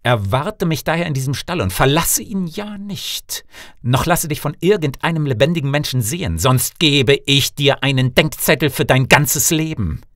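A man's voice: on the high side, lively intonation, normal speed, a bit bright, dry recording, studio quality, voice pushed up. Man voicing an imperious evil sorcerer. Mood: commanding, threatening. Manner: imperious, emphatic. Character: evil male sorcerer, commanding, curt, menacing husband. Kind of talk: fairy-tale audiobook character voice.

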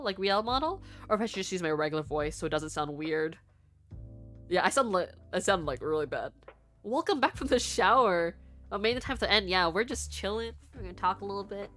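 A woman's voice: medium pitch, big pitch swings, a little fast, a bit bright. A young woman VTuber chatting casually with her livestream viewers in a rambling, giggly manner. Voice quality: stuffy nose at times. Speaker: VTuber streamer and artist. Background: faint background music.

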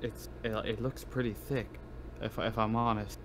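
A man's voice: lowish voice, flat delivery, normal speed, background noise. A man thinking aloud tentatively as a player in a casual tabletop roleplaying game.

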